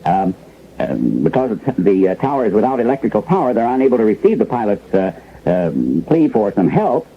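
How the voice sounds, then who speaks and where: Flustered male news anchor, husky, hesitant, medium pitch, mock live radio bulletin, thin tinny sound. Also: big pitch swings, exaggerated voice. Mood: flustered, grave. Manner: halting, hesitant, groping for words. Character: flustered male newsroom anchor handling an emergency. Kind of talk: mock live newscast, 1970s radio drama. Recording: tinny, phone-like old radio broadcast.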